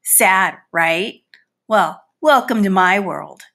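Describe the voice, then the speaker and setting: Storybook housefly, acted by a woman: indignant, easily hurt, boastful, theatrical; slow, forceful bright delivery. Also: mid-range voice, lively intonation, gravelly, voice pushed down, exaggerated voice. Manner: theatrical, pleading, mock-wounded and boastful. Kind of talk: storybook read-aloud in character.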